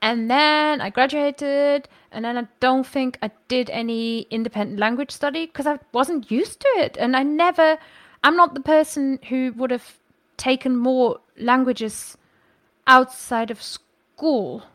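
A woman's voice: high voice, measured pace, fairly bright tone, no echo. A woman, chatting as a podcast guest and thinking aloud with frequent self-corrections. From Germany. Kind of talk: casual conversational storytelling.

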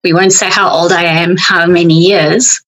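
A woman's voice: fairly low pitch, steady tone, moderate pace, a bit bright. A middle-aged woman with a South African accent, a coach talking frankly and reflectively in a podcast conversation. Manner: frank, reflective, speaks to herself in quoted thoughts.

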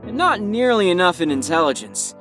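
High, lively, sardonic young man, acted. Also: fairly quick, faint music behind. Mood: flippant. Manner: casual, sardonic, theatrical. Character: flippant, sarcastic young male patient narrating game guide. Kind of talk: mock video game guide in audio drama.

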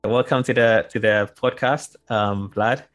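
A man's voice: fairly low pitch, fairly even intonation, measured pace, fairly bright tone, faint music behind. A man hosting a podcast interview, speaking hesitantly with repeated words and fillers. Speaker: podcast host.

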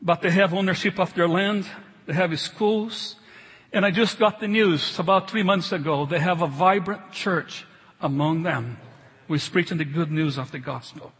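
A middle-aged male pastor delivers a sermon in accented, non-native English. His voice is high and husky, and he speaks emphatically but haltingly, doubling back on phrases. It rings with long reverb in a large hall. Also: fairly bright tone. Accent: foreign. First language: not English. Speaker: pastor preaching.

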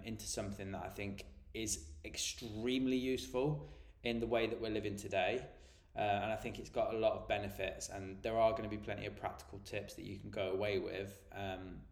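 A man with a lowish voice and a stuffy nose from a cold, talking through a solo podcast episode as a health coach. He explains things in a relaxed, informal way, and the room gives his voice a noticeable echo.

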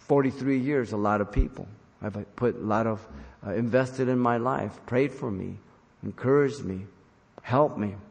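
A man's voice: mid-range voice, moderate pace, creaky. Older male preacher in a reverberant hall. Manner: earnest, exhorting, repeats phrases for emphasis. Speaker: pastor preaching to his congregation. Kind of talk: sermon with scripture reading.

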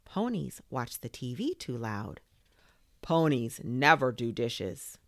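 A woman plays a weary storybook parent who is firm and emphatic. The voice is low and deliberate, with a creaky edge. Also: big pitch swings, no room sound, studio quality, heavily acted. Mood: exasperated.

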